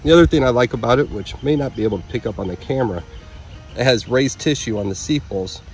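A man's voice: medium pitch, fast talker, a little mellow, faint music behind. A man in his thirties from Illinois, explaining flower traits in careful detail outdoors. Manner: knowledgeable, detailed, matter-of-fact. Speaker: flower breeder. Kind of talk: casual interview about flowers. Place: outdoors in a garden.

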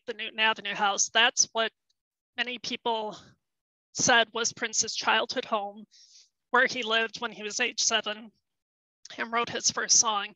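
A woman's voice: a little high, pushed and bright, dry recording, low-quality recording. A middle-aged woman historian giving a careful online talk, hesitating with ums and losing her voice. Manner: explanatory, careful, with frequent ums and self-corrections. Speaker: historian presenting research. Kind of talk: webinar presentation.

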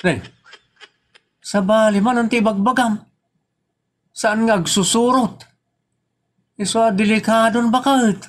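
A man's voice: high-pitched, fairly even intonation, a little slow, long pauses. A man playing a worried young husband in a comedy drama, questioning insistently with an Ilocano accent. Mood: accusing.

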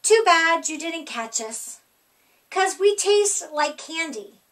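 Boastful runaway gingerbread cookie, voiced by a woman: high, sing-song, teasing storybook rhymes. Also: lively intonation, takes it slowly, a bit bright, full character voice. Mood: gleeful bragging.

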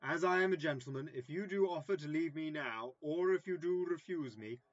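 A man playing a vain, gullible, self-important would-be gentleman in an early modern comedy audio drama. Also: a little high, a little fast, no echo, close to an everyday voice. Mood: insistent. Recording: slightly dull, lo-fi remote recording.

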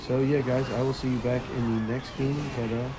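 A young man with a hoarse, partly lost voice, casually commentating a mobile strategy game and chuckling quietly as he plays. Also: mid-range voice, fairly quick, noisy background. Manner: relaxed, chuckles quietly while playing.

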